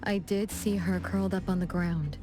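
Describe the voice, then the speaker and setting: A woman's voice: fairly low pitch, steady tone, moderate pace, close to an everyday voice. A woman voicing a poised, gracious young lady in a video game, composed and polite with a lightly teasing touch. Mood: thoughtful. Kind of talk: game dialogue acting, storytelling. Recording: music behind the voice.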